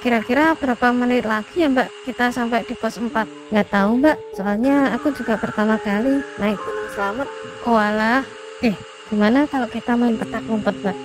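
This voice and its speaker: A woman's voice: a little high, moderate pace, music in the background, natural voice, poor audio. A woman playing a friendly, polite and chatty young female hiker in a cartoon. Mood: casual. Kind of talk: cartoon dialogue acting.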